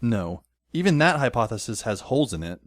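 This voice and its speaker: Man playing wry schoolboy narrator, lively pitch swings. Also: brisk, no echo. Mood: wry narration. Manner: dry, sardonic. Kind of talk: first-person scripted audio drama narration. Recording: music under the voice.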